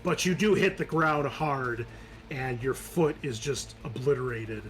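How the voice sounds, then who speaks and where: A man's voice: medium pitch, a little slow, a bit bright. A man working as game master, narrating a tabletop roleplaying game and explaining the rules in an informal, sweary way. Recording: music playing behind the voice. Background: background music.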